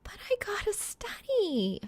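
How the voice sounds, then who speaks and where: A woman's voice: very high-pitched, wildly swooping pitch, measured pace, a little mellow, pitched above the performer's own voice, heavily acted. A woman voicing a young, studious gamer woman in an audiobook, casual and teasing with a dry manner. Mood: whiny. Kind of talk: acted audiobook dialogue.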